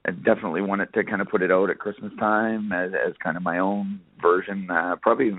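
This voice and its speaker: A man from Nova Scotia, Canada, chatting casually as an interview guest, halting and full of filler phrases. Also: deep voice, fairly even intonation. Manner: halting, peppered with "you know". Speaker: interview guest, country singer. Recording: narrow phone line, roomy echo.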